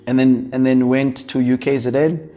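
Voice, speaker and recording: Young South African man, easygoing guest, slangy, laughs easily, mid-pitched voice. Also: level tone, moderate pace, warm tone, soft background music. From Durban, South Africa. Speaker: guest, food entrepreneur. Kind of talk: casual podcast chat.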